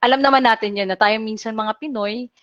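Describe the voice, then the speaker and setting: A woman's voice: fairly high pitch, fairly even intonation, fast talker, a bit bright, no echo, highs cut off. A young woman from Bicol with a Filipino accent gives a casual webinar talk, mixing English and Tagalog, chatty and full of fillers. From Philippines, Bicol region. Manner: chatty, switches languages mid-sentence, many fillers. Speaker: webinar speaker, company operations officer.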